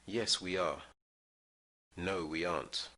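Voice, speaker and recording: A man teaching English, reading short phrases slowly and clearly for listeners to repeat. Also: sits low, steady tone, bright, forceful tone. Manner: clear drill-style reading of phrases for repetition. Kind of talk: slow scripted language lesson.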